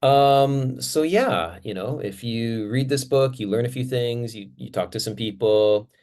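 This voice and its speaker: A man, co-author of a book, giving casual closing remarks in a relaxed, rambling way with many fillers. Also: medium pitch, unhurried.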